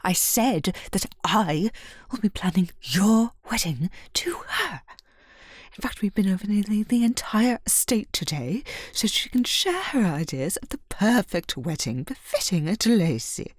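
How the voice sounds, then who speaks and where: A woman playing a genteel but secretly jealous scheming mistress in an audio drama, with hesitant, deliberate delivery. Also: medium pitch, big pitch swings, no echo, clean studio sound. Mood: bitter, hushed. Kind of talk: scripted ASMR audio roleplay.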